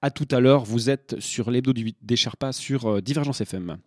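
A man's voice: medium pitch, moderate pace, darkish tone. A man hosting a community radio show, reading announcements with many hesitations and restarts. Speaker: radio host.

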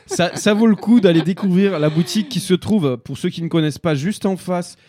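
A man's voice: high voice, brisk, a crowd in the background. A man hosting a casual interview, jovial and joking with his guest. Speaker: radio host.

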